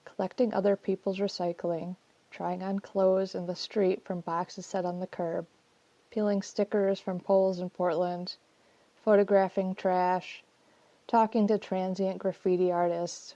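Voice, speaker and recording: A woman's voice: lowish voice, mellow, dry recording, highs cut off. A woman reading her own personal essay as scripted first-person narration.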